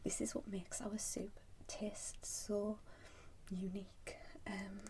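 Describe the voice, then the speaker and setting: A woman whispering softly and breathily in an ASMR roleplay, pausing often as she addresses the listener as a customer. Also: mid-range voice, little pitch movement. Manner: slow, pausing, unhurried. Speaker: ASMR performer playing a soup shop keeper.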